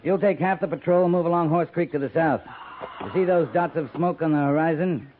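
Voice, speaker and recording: A man acting as a sardonic cavalry captain from a fifties radio western. He gives clipped, wry orders in a fairly high male voice, weary but humane underneath. The sound is thin and narrow with light hiss. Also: fairly quick, close to an everyday voice. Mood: brisk command. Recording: noisy 1950s radio recording, narrow band.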